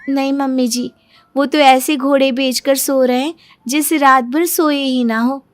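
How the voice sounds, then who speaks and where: A woman's voice: high-pitched, fairly even intonation, unhurried, soft background music. A woman playing a young new daughter-in-law in a cartoon story. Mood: meek, polite.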